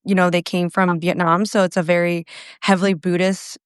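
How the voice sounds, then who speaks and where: A woman in her thirties from Phoenix, a podcast guest telling her life story in an earnest, halting way, often correcting herself. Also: on the low side, flat delivery, clear, resonant tone, no room sound, clean studio sound. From Phoenix, Arizona, USA. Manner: earnest, halting, with self-corrections and soft laughs. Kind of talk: personal testimony in an interview.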